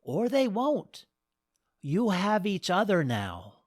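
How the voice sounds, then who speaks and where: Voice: medium pitch, wildly swooping pitch, slow pace, no echo, pitched above the performer's own voice, heavily acted. A storyteller voicing an elderly, tipsy goddess who scolds and teases with dry humor. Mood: teasing. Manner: scolding, wry.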